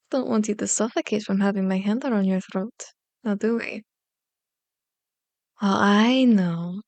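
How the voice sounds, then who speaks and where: A woman playing a powerful elder vampire in an ASMR roleplay, seductive and taunting, with slow, deliberate, formally worded speech. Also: mid-range voice, darkish tone, dry recording, close to an everyday voice. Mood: menacing, teasing. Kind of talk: ASMR roleplay, scripted monologue. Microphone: close microphone, dry recording.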